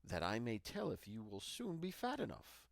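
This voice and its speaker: Man voicing a spiteful old witch, swooping pitch. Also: wildly swooping pitch, normal speed, gravelly, no room sound, clean studio sound, exaggerated voice. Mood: commanding. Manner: scolding, commanding. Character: wicked old witch, cunning, greedy and spiteful.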